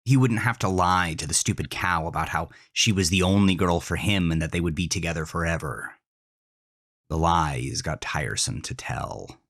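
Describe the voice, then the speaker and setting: A man hosting and narrating a scripted horror story in an intense, deliberate, dramatic way. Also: sits low, a bit bright. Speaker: host, author and narrator.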